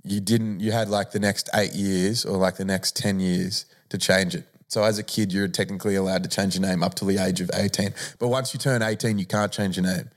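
A man's voice: deep voice, fairly even intonation. A man co-hosting a podcast, joking and riffing in casual banter with frequent laughs. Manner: joking, laughs a lot, riffs quickly. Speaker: podcast co-host.

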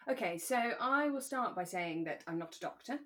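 A woman co-hosting a relaxed conversational podcast, talking in a candid, reflective and careful way. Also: on the low side, normal speed. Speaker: podcast co-host.

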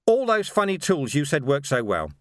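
Man doing a tired gruff cop boss, short barked orders, lively. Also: a little high, moderate pace, dry recording, studio quality. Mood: urgent. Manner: clipped, urgent commands. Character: exhausted, gruff male police commander.

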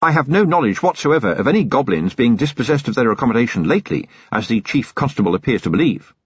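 A man's voice: medium pitch, very animated, fairly quick, slightly rough, no room sound, dull audio. A man reading an audiobook, voicing a prim, nervous male lawyer who speaks stiffly and formally.

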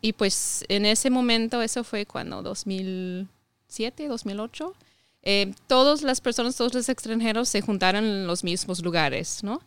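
Guest, woman from Ohio, 30s, non-native speaker, halting and casual, very clear and dry. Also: mid-range voice, no room sound. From United States (Ohio). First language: English. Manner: hesitant, many fillers and restarts. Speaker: podcast guest. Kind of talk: casual interview conversation.

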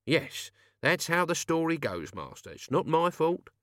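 A man's voice: on the high side, big pitch swings, unhurried, a bit bright, dry recording, clean studio sound, voice pushed up. A man voicing an anxious, grumbling manservant who pleads deferentially and with exasperation in a storybook reading. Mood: uneasy. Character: Albert, anxious, grumbling male servant of Death.

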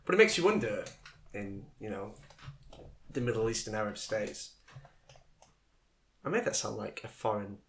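A man's voice: lowish voice, very animated, moderate pace, long pauses, a little hiss or hum. Man joking on a podcast. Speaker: podcast co-host. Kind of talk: casual podcast chat.

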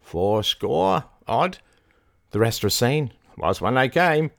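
A man's voice: mid-range voice, very animated, takes it slowly, gravelly, fairly bright tone, no echo, studio quality, voice pushed up, full character voice. A man voicing a rustic, muddled, servile asylum keeper in an audiobook, stammering in folksy dialect. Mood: halting, deferential.

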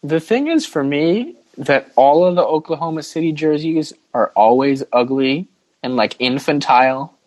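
A man's voice: fairly high pitch, animated, normal speed, a little mellow, no echo, rough recording. A man hosting a casual sports podcast, chatting informally and giving firm opinions. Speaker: podcast host.

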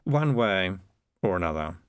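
A man voicing a cocky, menacing piper in a storybook, smug and threatening, with a slow, condescending drawl. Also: low voice, sing-song, clear, resonant tone, no echo, clean studio sound, voice pushed down. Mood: cool, mocking.

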